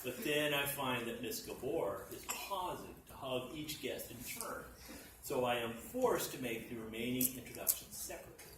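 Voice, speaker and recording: A man narrates a comic short story to a live gathering with deliberate, dramatic emphasis. His voice sits at a middle pitch. The recording is distant and echoey, with clear background noise. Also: animated. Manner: theatrical, deliberate emphasis with sudden swells. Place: library function room at a live reading. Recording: echoey room, distant mic, noisy.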